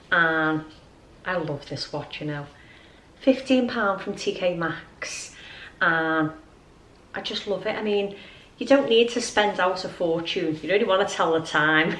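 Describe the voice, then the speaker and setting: A middle-aged woman chatting informally and ramblingly to camera as a lifestyle vlogger. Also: lowish voice. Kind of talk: casual chatty vlog to camera. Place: at home.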